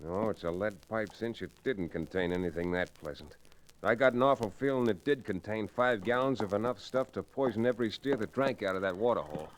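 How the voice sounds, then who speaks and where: Male actor as frontier rancher hero, folksy, rather low pitch, old-time radio Western style. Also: a little fast, lightly acted, rough recording. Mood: grim. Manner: folksy, easygoing storytelling.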